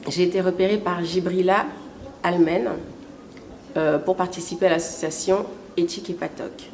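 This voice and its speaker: A woman's voice: fairly low pitch, fairly even intonation, moderate pace, darkish tone. A woman in her twenties of Cameroonian origin speaks in an interview about becoming a model. She phrases things carefully and formally. Faint noise sits behind her. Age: 20s. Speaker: aspiring model. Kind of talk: testimonial interview.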